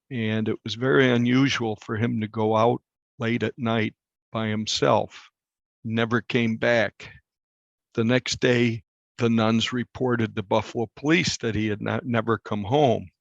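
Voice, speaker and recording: Gravelly low-voiced man recounting a story. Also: no echo, lo-fi sound. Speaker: newspaper reporter being interviewed. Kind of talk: conversational storytelling interview.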